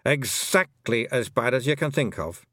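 A man voicing a gruff, warlike little fairy chieftain with a thick Scottish brogue, slow and ominous. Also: mid-range voice, animated, bright, forceful tone, no room sound, studio quality.

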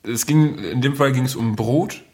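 A man's voice: mid-range voice, measured pace, darkish tone. Playful man chatting on a food podcast. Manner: playful, jokey, colloquial. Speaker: podcast host. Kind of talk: casual food podcast banter.

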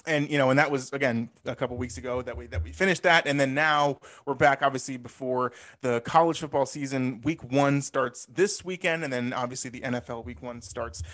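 A man co-hosts a sports podcast in a relaxed, loose way. His voice sits in an ordinary middle pitch range. He often restarts and corrects himself as he talks. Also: brisk, hardly any pauses. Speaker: podcast co-host. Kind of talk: casual sports podcast chat.

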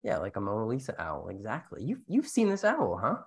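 A man's voice: on the low side, a little fast, husky. A man hosting a podcast with playful, theatrical banter and storytelling. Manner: animated, theatrical. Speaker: podcast host.

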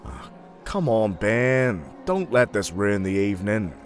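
A man playing a sentimental, gentle young friend who speaks frankly, in an audio drama. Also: lowish voice, sing-song, takes it slowly, soft background music. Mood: frank, concerned.

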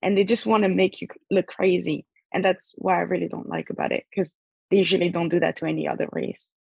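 Young woman panelist, husky and a little gravelly, low-set voice, hesitant and earnest. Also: fairly even intonation, fast, a little mellow, dry recording. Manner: hesitant, filler-heavy, earnest. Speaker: student panelist. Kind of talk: casual discussion.

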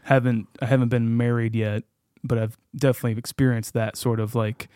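Male podcast guest, mid-pitched, informal and joking conversational delivery, dry recording. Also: flat delivery, brisk, dark tone, no room sound. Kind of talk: casual podcast chat about films.

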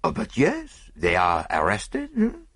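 A man's voice: on the high side, wildly swooping pitch, slow, gravelly, fairly bright tone, husky, voice pushed up, exaggerated voice. A man voicing a vain, fussy middle-aged Belgian detective in an audiobook, with a French-speaking Belgian accent and precise, theatrical delivery. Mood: triumphant, explanatory. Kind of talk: audiobook character dialogue.

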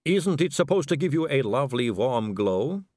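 Guy putting on a slow silky lady vampire, posh and unbothered, kinda higher voice, dead dry audiobook sound. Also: sing-song, a little mellow, no room sound. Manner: slow, silky, languid delivery. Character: suave, poised aristocratic female vampire.

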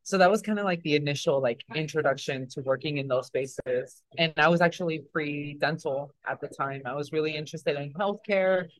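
A man's voice: a little high, fairly quick, few pauses, a bit bright, no echo, poor audio. A young man from Mexico, answering interview questions in a loose, rambling way with many fillers and restarts. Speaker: interview guest. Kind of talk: casual interview talk.

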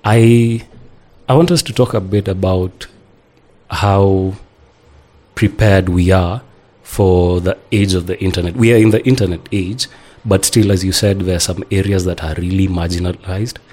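A man hosts a podcast interview. His voice is very low, and his questions come calm and measured. He backs up his guest often with short words of agreement. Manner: affirming, calm questioner with many backchannels. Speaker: host.

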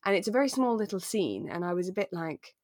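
A woman co-hosting a podcast in relaxed, conversational chat, retelling a drama and acting out bits of it. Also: medium pitch, fast, no room sound, clean studio sound. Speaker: podcast co-host.

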